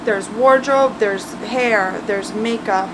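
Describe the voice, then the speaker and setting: A Russian-born woman with Russian as her first language, speaking English in a casual, confident way and often restarting mid-sentence. She is a guest in an interview. A steady background hum runs under her voice. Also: mid-range voice, slow pace. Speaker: guest, film makeup artist.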